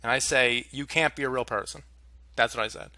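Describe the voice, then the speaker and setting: A young man vents to the camera about something that went wrong, sounding exasperated and swearing freely. His voice is bright and pushes forward. The sound is dry, with no room echo. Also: mid-range voice, fairly quick. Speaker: vlogger. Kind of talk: casual storytelling rant to camera.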